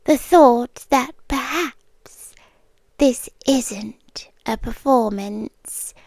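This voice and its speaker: A British woman playing a menacing, possessive yandere girlfriend in an ASMR roleplay, speaking with deliberate teasing pauses and breathy half-whispers. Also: high voice, no room sound, exaggerated voice. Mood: softly menacing. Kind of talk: ASMR roleplay, slow intimate dramatic monologue.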